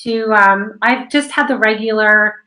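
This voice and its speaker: A woman hosting an online radio interview, chatty and quick, laughing often. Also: mid-range voice, sharp tone, poor audio. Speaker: host of an online radio show. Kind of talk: casual chat.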